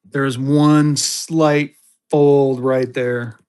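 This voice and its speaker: A man hosting a live-stream auction of paper money, chatty, jokey and excitable. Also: medium pitch, drawn-out words, clear, resonant tone. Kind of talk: casual live-stream selling chat.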